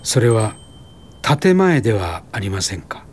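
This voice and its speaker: An actor in a radio drama playing an idealistic, gently persuasive school founder, speaking courteously and deliberately. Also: male voice, fairly low pitch, sing-song, slight background noise. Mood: probing.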